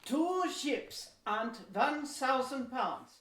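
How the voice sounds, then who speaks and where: A woman playing a courteous, persuasive male Dutch company agent in a historical audio play, speaking formally and deliberately. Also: high-pitched, lively intonation, a bit bright, heavily acted. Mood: courteous, businesslike. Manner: formal, deliberate, measured delivery. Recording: roomy echo, distant from mic.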